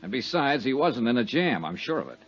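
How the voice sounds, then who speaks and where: A man's voice: mid-range voice, very animated, fairly quick, slightly rough, faint music behind. An American man playing a hard-boiled, brooding and self-assured insurance investigator in a 1950s radio detective drama. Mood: insistent. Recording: dull, muffled 1950s radio recording.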